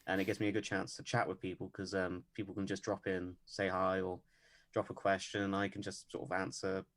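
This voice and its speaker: A man guesting on a podcast, talking about his animation work in a deadpan, measured way with many pauses and ums. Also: low voice. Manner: measured, lots of ums and hedges. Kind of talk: casual interview chat.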